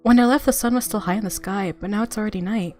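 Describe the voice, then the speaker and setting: A woman's voice: medium pitch, quick pace, darkish tone. A woman hosting a gaming video, reading visual novel narration aloud expressively and reacting casually. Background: game music.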